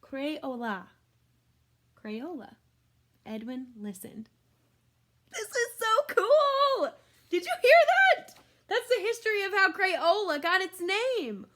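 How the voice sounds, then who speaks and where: A woman's voice: very high voice, sharp tone. A woman reading a children's book aloud like a teacher, expressively, with exclamations and simple explanatory asides for young listeners.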